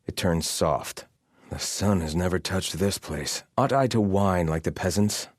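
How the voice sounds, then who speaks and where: An actor playing a proud, bitter soldier of an exiled sun-worshipping tribe in a video game, speaking in formal, theatrical phrases. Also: male voice, low voice, measured pace, dry recording, studio quality. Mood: grim, brooding. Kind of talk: scripted game combat barks and guard chatter.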